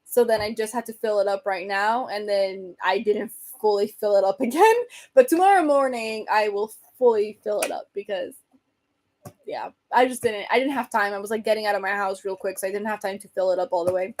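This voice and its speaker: A woman hosting a casual livestream, rambling and answering viewer comments, her voice getting hoarse from talking so much. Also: medium pitch, brisk, darkish tone. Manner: chatty, rambling, reads and answers comments, laughs often. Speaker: livestream host chatting with viewers.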